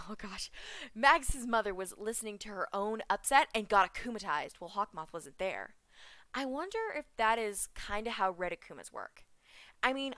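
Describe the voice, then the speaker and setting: Giggly, bright-voiced young woman fan vlogger. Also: mid-range voice, no echo. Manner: chatty, giggly, punning, jumps off track. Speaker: vlogger reviewing a cartoon episode. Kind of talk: excited fan reaction vlog.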